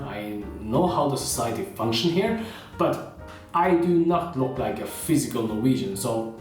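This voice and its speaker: A man chats to the camera in a loose, conversational way, his pitch rising and falling freely. He sounds set back from the microphone in a very echoey room, with soft guitar music underneath. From China. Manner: conversational, many fillers like "you know" and "uh". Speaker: vlogger. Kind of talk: casual talk to camera. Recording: roomy echo, heard from a distance, with faint guitar music.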